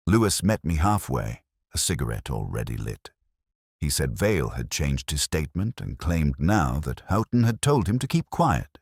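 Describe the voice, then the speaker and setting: A man narrating a literary detective mystery in the first person, in a measured and solemn way. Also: a bass voice, husky, no echo, studio quality.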